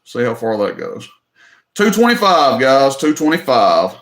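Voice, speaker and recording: A man's voice: mid-range voice, very animated, unhurried, vocal fry, a bit bright, roomy sound. A middle-aged man with a Southern accent from Tennessee, hosting a live online auction in a folksy, joking way. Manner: folksy, joking, drawling, says y'all. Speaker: livestream host running an auction. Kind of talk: casual livestream chat.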